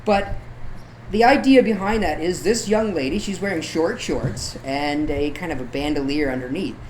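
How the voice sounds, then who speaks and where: A man's voice: a little high, normal speed, darkish tone, slight background noise, low-quality recording. A man talking casually as an interview guest, in a rambling, easygoing, informal way. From Canada. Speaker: guest, local artist and photographer.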